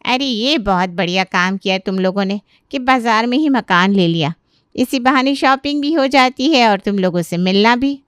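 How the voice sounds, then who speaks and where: Casting: actress as pushy, chatty cartoon neighbour woman, highish and crisp. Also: expressive, normal speed, a bit bright, no room sound, studio quality. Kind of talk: Hindi cartoon story character voice.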